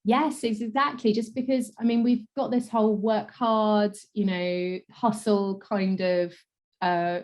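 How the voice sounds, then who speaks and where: Croaky-voiced woman coaching in an interview. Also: medium pitch. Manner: thoughtful, fillers and trailing soft endings. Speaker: guest, communication coach. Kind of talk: conversational interview.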